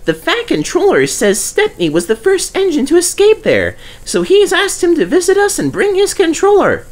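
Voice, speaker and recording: A man voicing a young, eager and excitable little tank engine with a squeaky voice, for a storybook. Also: moderate pace, full character voice. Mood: eager, earnest.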